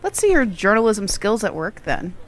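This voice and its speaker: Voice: mid-range voice, very animated, normal speed, natural voice. An actor playing an easygoing young friend in an audio drama, teasing and laid-back. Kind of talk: conversational audio drama acting.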